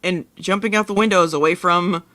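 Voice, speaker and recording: A man's voice: high-pitched, steady tone, a little fast, bright, forceful tone, no echo. A man co-hosting a podcast, chatting casually with wry, joking asides. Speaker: podcast co-host. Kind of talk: casual chat.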